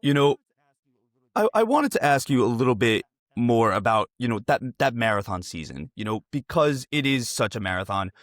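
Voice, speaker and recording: A man's voice: medium pitch, sing-song, normal speed, no echo. A man hosting a casual interview, earnest and rambling, often repeating his phrases as he builds a question. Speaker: interviewer, host.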